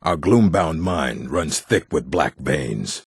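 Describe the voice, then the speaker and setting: An actor playing a gruff adult male orc from a fantasy stronghold in a video game, with a gravelly, husky voice and a blunt, weighty delivery. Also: rumbling low, lively intonation, slow pace, no room sound, clean studio sound, heavily acted. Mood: solemn, foreboding.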